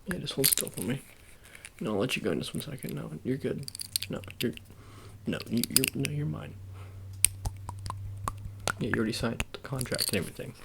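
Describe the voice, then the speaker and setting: A man doing an improvised ASMR roleplay as a sports agent, talking in halting, repetitive, murmured reassurances. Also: mid-range voice, gravelly, very noisy. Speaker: ASMR performer.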